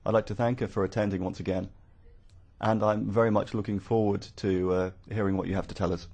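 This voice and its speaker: A man working as a conference interpreter, rendering formal speeches live in a halting, phrase-by-phrase way. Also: fairly low pitch, little pitch movement, darkish tone.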